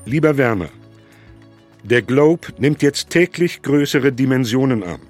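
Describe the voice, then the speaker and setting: Guy reading as a worried old-time businessman writing his brother, calm and earnest, mid voice, soft music behind. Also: mid-range voice, close to an everyday voice. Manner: measured, earnest letter reading. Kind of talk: reading letters aloud in a radio documentary.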